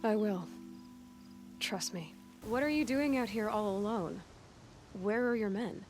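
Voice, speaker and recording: A woman's voice: medium pitch, unhurried, a little mellow, natural voice. A woman plays a young huntress from an action game. She is determined and direct, stern with compassion underneath, in a mid-range voice with music behind her. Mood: gentle, reassuring. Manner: direct, questioning, intense.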